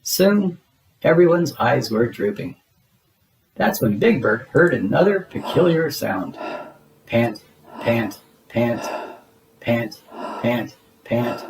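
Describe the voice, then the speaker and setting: A man reading a children's storybook aloud in a theatrical, deliberate storytelling manner. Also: medium pitch, very animated, a little hiss or hum, low-quality recording. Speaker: storybook reader. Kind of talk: expressive children's read-aloud narration.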